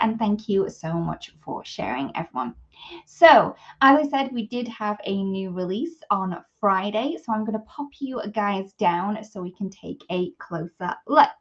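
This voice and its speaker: A sniffly woman with a cold, hosting a craft live stream and chatting easily through a product demo while greeting viewers by name. Her voice sits at a middle pitch, and the audio is slightly dull.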